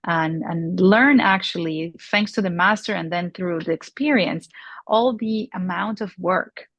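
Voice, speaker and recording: A woman in her forties from Peru, answering interview questions in a halting way with many fillers and restarts. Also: fairly low pitch, a bit bright, no room sound, highs cut off. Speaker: luxury industry guest. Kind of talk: conversational interview.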